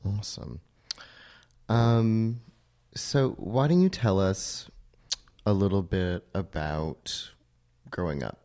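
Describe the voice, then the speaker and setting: Deep, mellow male interview host. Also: takes it slowly, long pauses. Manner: laid-back, rambling questions. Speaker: podcast host. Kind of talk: casual interview.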